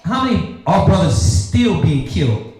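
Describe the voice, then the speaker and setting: High-pitched, animated American male preacher, echoey room. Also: unhurried. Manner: street-talking, confrontational, peppered with rhetorical questions. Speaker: preacher and teacher leading a scripture class. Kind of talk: fiery teaching sermon with call and response. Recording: very echoey room, faint noise.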